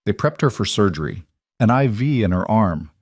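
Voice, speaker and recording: Male narrator, lowish voice, dry close sound, steady measured story reading. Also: husky, no echo.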